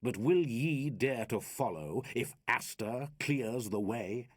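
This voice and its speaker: A man's voice: medium pitch, animated, slow, husky, no room sound. A man voicing a huge, haughty warlord from epic verse, declaiming with lofty contempt and scorn. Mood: cold scorn.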